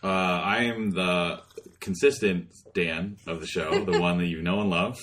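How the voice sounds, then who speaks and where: A lower-voiced man co-hosting a casual podcast. He rambles wryly, circling his point with lots of "like"s and trailing asides. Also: animated, takes it slowly, fairly bright tone, slight background noise. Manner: wry, rambling, many "like"s. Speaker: podcast co-host. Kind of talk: casual chat.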